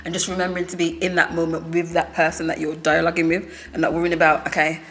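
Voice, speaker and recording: A woman's voice: deep voice, flat delivery, quick pace, fairly bright tone. A woman, an actor guest on a casual panel chat, thoughtful and searching for words.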